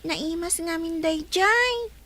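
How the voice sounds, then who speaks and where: Very high-pitched nosy child. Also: female voice, slow pace, sharp tone, exaggerated voice. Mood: eager. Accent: Ilocano. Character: nosy, eager young child pestering an uncle. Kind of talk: Ilocano radio drama acting.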